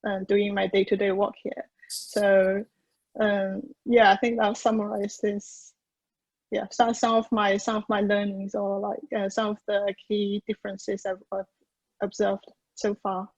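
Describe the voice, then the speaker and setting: Young woman on a panel, flat steady voice, lots of "like" and "uh", dry sound. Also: medium pitch, unhurried, no room sound, dull audio. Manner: hedging, many fillers and restarts. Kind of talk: conference panel talk.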